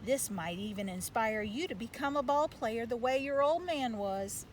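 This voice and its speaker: A woman plays a grumbling, bossy father from a storybook, folksy and blustering. The pitch sits on the high side, and there is clear noise behind the words. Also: animated, normal speed, close to an everyday voice. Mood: blustering, persuasive. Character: gruff grumbling father, manager of a losing baseball team. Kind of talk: storybook read-aloud.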